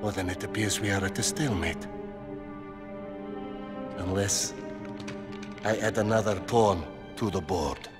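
An actor playing a ruthless middle-aged warlord in a video game, with a cold, theatrical, menacing way of speechifying. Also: male voice, low voice, measured pace, long pauses, over music. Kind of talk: dramatic video game acting.

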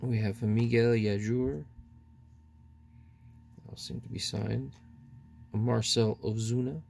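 A man hosting his own card-opening channel, calmly reading out player names one by one in casual commentary, recorded indoors at a table. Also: medium pitch, slow pace, creaky, slight background noise. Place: indoors at a table, hands on camera only.

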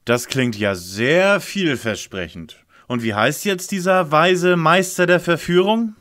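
A pompous, brainy young detective acted by a man in a parody audio drama. He talks in bookish phrases, with a highish voice that swings in pitch. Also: lively intonation, slow, fairly bright tone. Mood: self-important.

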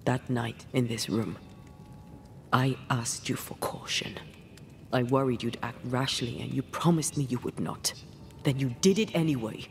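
A woman playing the brooding, resentful ghost of a young woman in a dramatic game, with breathy, measured, old-fashioned speech. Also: a bass voice, a little mellow, a little hiss or hum, full character voice. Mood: bitter, wounded. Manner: measured, archaic phrasing.

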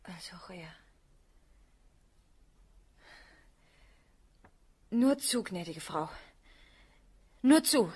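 An actress playing an anxious, love-struck young bourgeois daughter in a period radio drama, with a breathy voice. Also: female voice, fairly low pitch, very animated, measured pace, darkish tone, exaggerated voice. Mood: shaken, subdued. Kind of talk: stage-drama radio play acting in period German.

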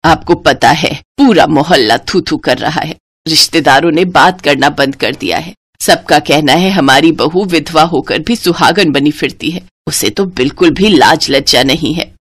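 A woman's voice: low voice, moderate pace, breathy edge, no room sound, voice pushed down. A woman voicing a bitter elderly mother-in-law in a cartoon story, harsh and accusing. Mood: indignant.

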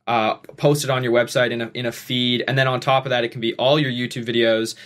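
A man in his twenties hosting a podcast from his bedroom, talking quickly with many restarts. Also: medium pitch, flat delivery, a bit bright. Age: 20s. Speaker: podcast host. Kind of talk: casual chat. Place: bedroom at home. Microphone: studio mic on boom arm, headphones.

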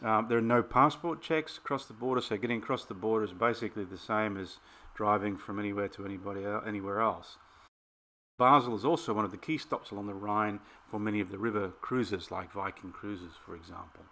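Aussie bloke doing a travel vlog, low voice, bit of background noise. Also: male voice, steady tone, fairly quick, sharp tone. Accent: Australian. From Australia. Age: middle-aged. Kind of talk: casual presenting of travel tips.

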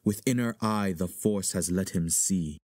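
Guy as a solemn shakespeare chorus, lowish mellow voice, reading verse slowly. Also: no room sound, clean studio sound. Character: solemn male chorus narrator.

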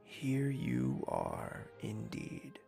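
A man playing a wealthy, smitten, possessive young nobleman in an intimate audio drama, speaking in a husky, teasing, courtly way. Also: extremely low pitch, wildly swooping pitch, extremely slow, mellow, faint music behind, exaggerated voice. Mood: tender. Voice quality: husky, breathy, creaky.

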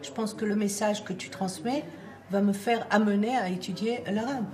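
A woman's voice: mid-range voice, normal speed. A woman from Tunisia speaking sincerely at a dinner table indoors. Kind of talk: table conversation.

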